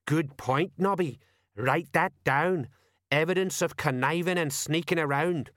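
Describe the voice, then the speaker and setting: A man's voice: fairly high pitch, unhurried, fairly bright tone, no echo, clean studio sound, voice pushed up. A man voicing a pompous, self-important, dim-witted veteran police sergeant who lectures in colloquial speech, in an audiobook. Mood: pleased, pompous.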